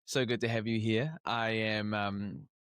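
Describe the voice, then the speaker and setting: A man's voice: lowish voice, fairly even intonation, a bit bright, clean tone, no room sound, clean studio sound. A young man hosting a podcast interview, talking casually and stopping often to restart his sentences. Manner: halting, many restarts and fillers. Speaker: podcast host.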